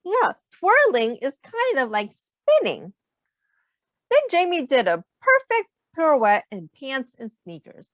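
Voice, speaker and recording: Woman, higher-pitched voice, dry close sound, children's read-aloud, expressive teacher delivery. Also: no echo. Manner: expressive, simple, deliberate teacher talk. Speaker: teacher reading a picture book aloud to young children. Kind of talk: children's storybook read-aloud with questions to listeners.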